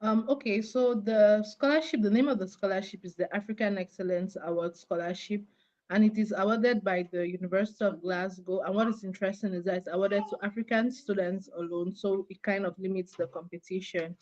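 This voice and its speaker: A young woman from Cameroon, a graduate student, explaining things clearly and steadily as an interview guest over a call. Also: mid-range voice, fairly even intonation, a little fast, darkish tone, lo-fi sound.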